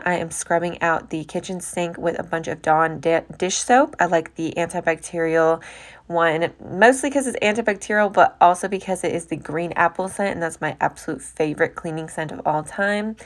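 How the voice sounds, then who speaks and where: Young American woman with a lowish voice. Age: young adult. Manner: chatty, informal. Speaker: homemaking vlogger. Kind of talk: casual voice-over narration of household chores.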